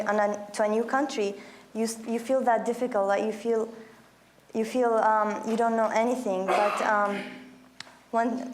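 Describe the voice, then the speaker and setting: Hesitant young woman panelist. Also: a little high. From Iraq. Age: 20s. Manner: hesitant, many ums and restarts. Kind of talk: panel discussion.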